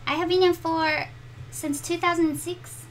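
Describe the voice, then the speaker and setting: Slow-talking South African woman artist. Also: extremely high pitch, fairly even intonation, slight background noise, poor audio. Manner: chatty, reads viewer comments aloud while drawing. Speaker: art channel host. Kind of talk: live-stream drawing chat with viewers. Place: home art studio.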